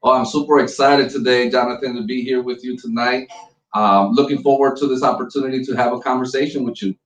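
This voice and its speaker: Principal, man in his 40s from Puerto Rico, native Spanish speaker, earnest and halting, echoey remote audio. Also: medium pitch, steady tone, fairly bright tone, far from the mic. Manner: earnest, many ums and restarts. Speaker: school principal. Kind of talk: conversational interview.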